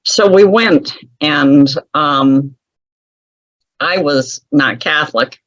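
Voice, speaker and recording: A woman's voice: sits low, extremely slow, no room sound, muffled recording. Chatty wry woman telling stories. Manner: chatty, wry, laughs often at her own stories. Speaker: guest author. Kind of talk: casual storytelling interview.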